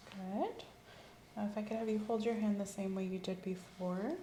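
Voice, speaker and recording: A woman hosting an ASMR physical exam roleplay, giving calm, step-by-step instructions in a soft voice. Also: mid-range voice, normal speed. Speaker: ASMR host performing an exam. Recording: roomy with faint hiss.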